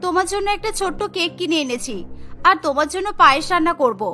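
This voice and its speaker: Cartoon sister-in-law, female performer: young, caring, earnest, very high-pitched and bright. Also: lively intonation, fast talker, soft background music, exaggerated voice. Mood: consoling. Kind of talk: Bengali cartoon dubbing.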